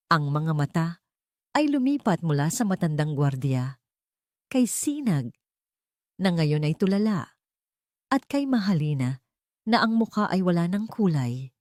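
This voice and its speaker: A woman storyteller narrating a scripted drama in a measured, solemn, dramatic way. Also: mid-range voice, a little mellow, dry recording, clean studio sound.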